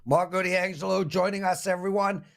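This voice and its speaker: A South African man hosts a sports betting show, talking in a lively, animated way. His voice sits high for a man, and he darts into quick asides before circling back to the point. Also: gravelly. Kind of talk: casual betting show chat.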